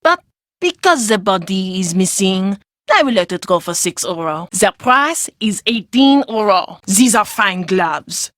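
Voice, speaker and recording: A cheerful street vendor for a video game, always haggling and pushing the sale. The voice is bright and forceful, sits at a middle pitch, and is recorded very dry. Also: female voice, dry recording.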